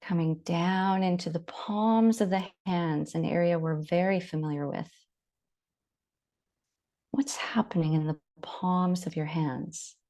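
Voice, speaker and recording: A woman leading a guided body scan meditation, giving gentle, unhurried instructions with long pauses. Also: fairly low pitch, fairly even intonation.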